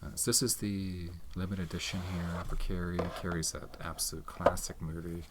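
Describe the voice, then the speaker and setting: A soft-spoken man chatting casually in an ASMR style, hesitant, rambling and unhurried. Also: low-pitched, flat delivery, very noisy. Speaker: video host showing movie collection. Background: tapping and handling of movie cases.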